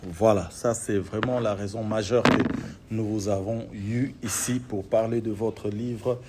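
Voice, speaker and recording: Low-voiced formal interview host. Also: male voice, fairly even intonation, a little slow, heavy background noise. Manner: formal, repetitive phrasing, emphatic introductions. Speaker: show host.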